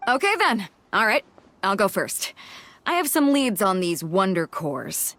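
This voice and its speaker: An actress voicing a confident, upbeat young woman who runs a ship in a video game, brisk and friendly. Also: medium pitch, very animated, a bit bright, no echo.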